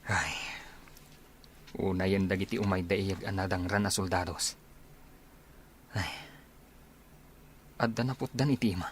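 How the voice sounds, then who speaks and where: A man's voice: deep voice, steady tone, unhurried, long pauses. A man playing a compassionate, troubled war-zone aid worker with an Ilocano accent in a radio drama. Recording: faint background noise and ambience.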